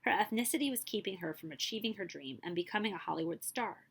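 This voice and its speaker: A woman librarian reading a picture book aloud at a steady storytelling pace, now and then stopping for a short aside to her listeners. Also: medium pitch, fast, gravelly, slightly rough.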